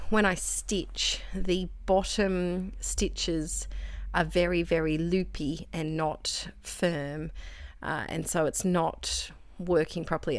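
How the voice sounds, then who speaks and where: A woman from Australia chatting casually while making crafts, rambling and correcting herself, her voice a little croaky. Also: lowish voice, no room sound. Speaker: crafting vlogger.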